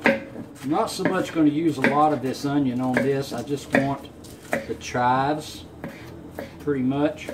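Male home cook, unhurried folksy delivery, mid-range voice, clearly noisy kitchen sound. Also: mid-range voice, steady tone. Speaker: home cook presenting a recipe. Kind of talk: casual cooking demonstration. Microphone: camera on counter a short way off. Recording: clear room noise in a kitchen.

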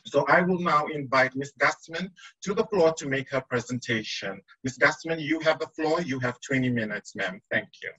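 A man's voice: mid-range voice, moderate pace, pushed and bright, husky. A man moderating a conference session, polite and formal, with frequent hesitations. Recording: dull video call audio.

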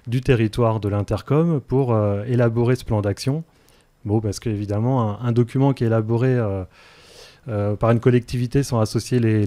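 A man in his forties giving explanatory answers as a radio interview guest, in a halting, hesitant manner with many fillers, close on a studio mic. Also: medium pitch, dark tone, no room sound. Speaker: interview guest, energy transition project officer. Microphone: close studio microphone.

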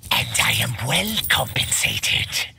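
An actor playing a greedy, menacing otherworldly tribute collector with a gravelly hiss and a sly, formal, theatrical manner in a fighting game. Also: male voice, mid-range voice, big pitch swings, takes it slowly, bright, forceful tone, heavily acted. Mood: sly, boastful. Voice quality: gravelly, hissing. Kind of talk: video game fight banter acting.

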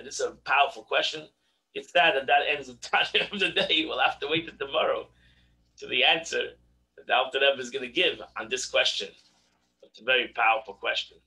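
Animated man teaching over a video call. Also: a little high, vocal fry, rough recording. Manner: animated, repeats words when stressing a point. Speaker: rabbi teaching a daily class. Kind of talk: online Torah class. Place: home study with bookshelves. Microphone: video call webcam.

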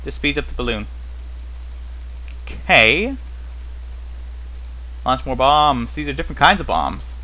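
Bright-voiced man rambling through gameplay commentary. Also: medium pitch, lively intonation, a little slow, pauses often, rough recording. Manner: rambling, thinking aloud with frequent questions to himself. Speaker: host of a gaming series. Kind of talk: casual unscripted gameplay commentary.

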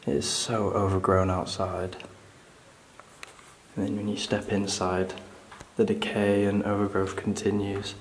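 A British man exploring an abandoned building, talking quietly and observantly through what he finds. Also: on the low side, monotone, unhurried, echoey room, a little hiss or hum. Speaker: urban explorer host. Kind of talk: exploring walkthrough with scripted narration.

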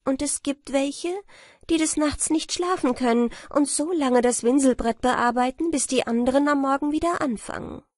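A woman's voice: high voice, normal speed, darkish tone, dry recording, studio quality, pitched above the performer's own voice, natural voice. A woman voicing an outraged common man in a fairy tale, earnestly complaining to his king. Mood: agitated.

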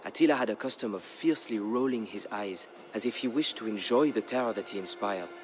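A man narrating a historical tale as a dramatic scripted story, in a measured, grave storyteller's delivery. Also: on the low side. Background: background music.

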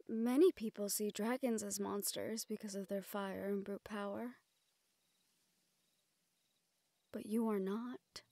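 Woman acting a high-voiced, tender fantasy sweetheart. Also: warm tone, no echo. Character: gentle, playfully scolding fantasy woman courting a dragon general. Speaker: audio roleplay voice actor. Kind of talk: romantic fantasy audio roleplay.

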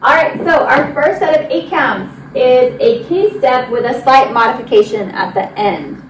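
A woman's voice: high voice, expressive, moderate pace. A young woman giving step-by-step line dance instruction, counting out the moves as she demonstrates them. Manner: directive, demonstrating while moving. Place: large indoor dance studio with wooden floor. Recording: roomy, distant mic with noise.

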